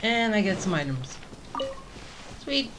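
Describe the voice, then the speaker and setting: A man's voice: high-pitched, big pitch swings, slow, noisy background. A man giving casual gameplay commentary as a let's play host, thinking aloud informally. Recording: game music under commentary.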